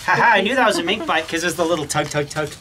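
A man's voice: on the high side, very fast, pushed and bright. A man in his thirties giving casual running commentary while ratting with dogs, calling commands and praising them, on a body camera. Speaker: pest control ratter with dogs. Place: outdoor dirt pens on a farm. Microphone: chest-mounted body camera. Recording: noisy outdoor camera audio. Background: dogs and outdoor ambience.

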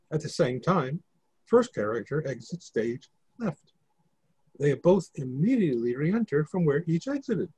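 A man's voice: a little high, a little mellow, slightly rough, dry recording, poor audio. A man reading stage directions as scripted narration for a play reading on a video call.

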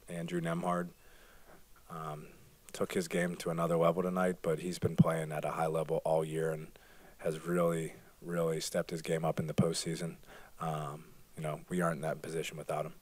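Postgame player, thirties, soft-spoken with fillers, dry close table mic. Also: male voice, deep voice, flat delivery, normal speed, dry recording. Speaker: basketball player. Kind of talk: postgame press conference answers. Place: press conference room. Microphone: table microphone.

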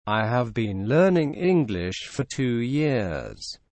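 A man reading short English phrases for learners, slow and clearly spaced. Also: medium pitch, very animated, darkish tone, no room sound.

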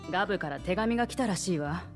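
An actress playing a brisk, sassy, familiar colleague in a Japanese video game, blunt and casual in manner. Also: female voice, on the low side, expressive, faint music behind, natural voice.

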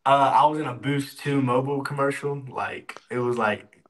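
A man's voice: medium pitch, fairly even intonation, normal speed, a bit bright, rough recording. A young man from Texas, a college football player, answers interview questions over a video call in an easygoing, upbeat way with lots of fillers. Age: young adult. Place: indoor room, video call.

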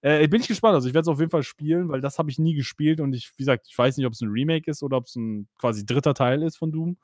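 A man's voice: on the high side, quick pace, a little mellow, dry recording, clean studio sound. A man hosting a casual podcast about games, chatty and full of fillers, laughing now and then. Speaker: podcast host. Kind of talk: casual gaming podcast chat.

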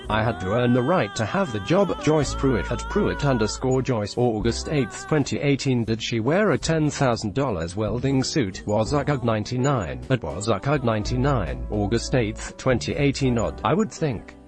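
A man narrating an online news video and reading tweets aloud, handles and dates included, in a flat, even read-out. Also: medium pitch, moderate pace, hardly any pauses. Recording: music bed underneath.

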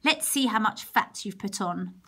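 A woman's voice: medium pitch, animated, a little slow, fairly bright tone, breathy edge. A woman voicing a wicked old witch in a storybook, commanding and scolding. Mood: bossy.

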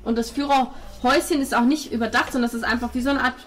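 A woman's voice: on the high side, steady tone, fast talker, faint music behind. A woman narrating a tabletop role-playing game as game master, descriptive and informal.

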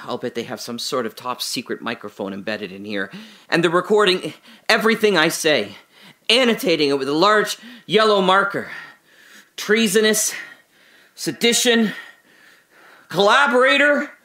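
A man's voice: sits high, lively intonation, normal speed, long pauses. A bitter detained man. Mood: paranoid. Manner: swings from hushed musing to indignant shouting. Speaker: voice actor. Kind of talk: audio drama monologue.

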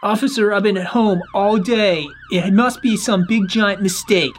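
A high-pitched, flustered innocent man acted out by a man pleads in exaggerated fashion. The delivery is slow and belongs to a hammy game roleplay. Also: a little hiss or hum. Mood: dismayed, pleading. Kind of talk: improvised video game roleplay.

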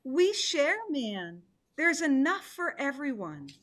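A woman's voice: high-pitched, big pitch swings, slow pace, a bit bright, full character voice. A middle-aged woman playing a patient, fretful, motherly sea turtle with coaxing delivery in a play reading. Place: living room indoors. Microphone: computer mic on video call.

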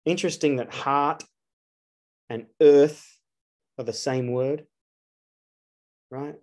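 A man's voice: medium pitch, darkish tone. A man, giving an earnest solo spiritual talk to camera, asking rhetorical questions with emphasis. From Australia. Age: 40s. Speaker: astrologer.

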